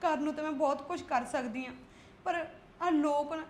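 A woman's voice: high-pitched, normal speed, slight background noise, close to an everyday voice. A woman playing a frightened young teacher. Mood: tearful pleading. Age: young adult. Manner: pleading, tearful, protesting.

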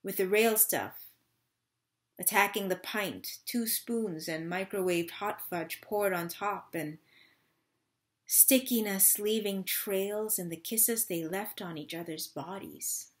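A woman's voice: medium pitch, takes it slowly, lightly acted. A woman in her thirties playing a wistful, restless mother in a dramatic third-person monologue reading. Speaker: actor in a staged reading. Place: small room at home.

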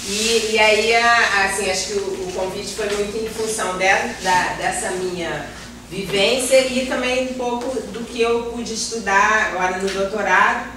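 A woman's voice: mid-range voice, moderate pace. A middle-aged woman with a Brazilian accent gives an informal lecture to a class in a hesitant, self-deprecating way, repeating words as she searches for the next one. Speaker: guest lecturer, environmental civil servant. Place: classroom with projector, indoors. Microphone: far camera. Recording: roomy, noisy classroom recorded from far off.

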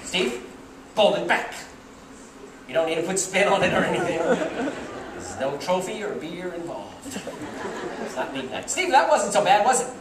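Male stage magician, wisecracking, animated, heard from the back of a roomy theater. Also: high-pitched, measured pace, pauses often, a crowd in the background, noisy background. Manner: wisecracking, teasing a volunteer. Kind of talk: live comedy magic act. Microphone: far audience camera. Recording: roomy theater sound from far off. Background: laughing, cheering audience.

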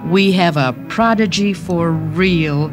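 Doting young mother, chatty, confiding, low female voice, radio story, music behind. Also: slow. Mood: proud, gushing. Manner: gushing, confiding, expressive. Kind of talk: radio drama monologue.

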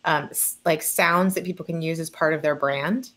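A woman's voice: low-pitched, steady tone, moderate pace, a bit bright. A woman taking part in a casual panel conversation over a video call. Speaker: panel participant. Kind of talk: casual chat.

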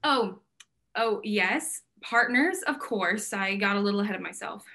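A woman's voice: mid-range voice, animated, measured pace, bright, forceful tone. A young woman acting a smitten, blunt modern gallery owner in a staged reading, with a casual, gushing manner. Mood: flustered. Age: young adult. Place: indoor room at home, video call.

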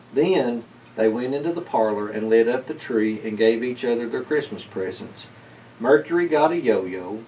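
A man's voice: fairly low pitch, a little mellow. A middle-aged man reading a children's story aloud as a narrator. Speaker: storybook reader. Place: indoors, library with bookshelves. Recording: slightly distant mic, faint room noise.

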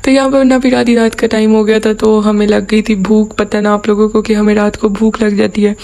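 A woman's voice: mid-range voice, flat delivery, fairly quick, warm tone. A young woman, chatting casually through a home cooking voice-over. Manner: chatty, lots of filler "na", giggles. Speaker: cooking vlogger.

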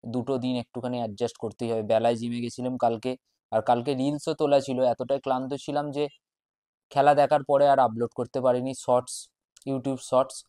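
A man in his twenties with a West Bengal Bengali accent, chatting casually to camera in short, halting phrases as a fitness vlogger. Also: mid-range voice, dark tone, no echo, studio quality. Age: 20s. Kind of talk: casual vlog chat to camera. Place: small bedroom at home, indoors. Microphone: wireless lapel mic.

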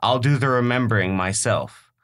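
A man with a slight sniffle, chatting and then reading a poem in a calm, measured way. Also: medium pitch. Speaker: panel member reading a poem. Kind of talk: casual chat and poetry reading.

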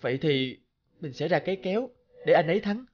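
A man playing a kind, selfless young sworn brother in an animated folk tale, with an earnest, pleading manner. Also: fairly high pitch, brisk, muffled recording. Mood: sad, resolute.

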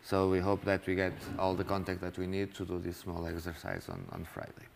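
Gravelly man leading a workshop. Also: deep voice, flat delivery. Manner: halting, restarts often. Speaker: workshop leader. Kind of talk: masterclass talk. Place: classroom with projector.

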